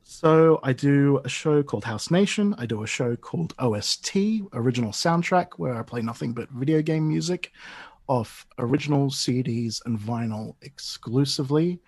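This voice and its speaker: An enthusiastic man in his forties chats as a podcast guest, his accent a blend of Australian, Dutch and British. His voice sits at an average pitch. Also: expressive, moderate pace, a little mellow. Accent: mixed Australian, Dutch and British. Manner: chatty and enthusiastic, lots of restarts. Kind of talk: casual podcast chat.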